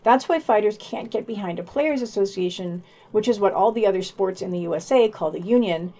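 A woman's voice: medium pitch, fairly quick, warm tone. A woman hosting a podcast, reading a scripted news digest and dropping in casual asides.

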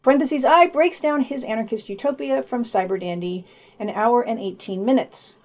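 A woman's voice: fairly high pitch, normal speed, a little mellow. A woman co-hosting a podcast, reading scripted summaries and reviews with her own commentary.

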